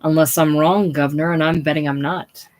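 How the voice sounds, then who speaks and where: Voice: mid-range voice, steady tone, brisk, close to an everyday voice. A reader voicing a hearty, pushy male salesman who cajoles everyone in a raised, cheerful way. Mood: jovial. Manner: jovial, cajoling, raised and bright.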